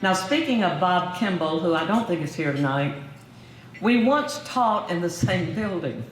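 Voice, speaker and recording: An older woman speaks at a podium mic in a roomy banquet hall, her words carrying an echo off the walls. She mixes gentle humor with formal gratitude, with a faint hum of the room behind her. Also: on the low side, expressive, measured pace. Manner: warm, lightly humorous, formal. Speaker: hall of fame inductee, former professor. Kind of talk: acceptance speech. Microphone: podium microphone.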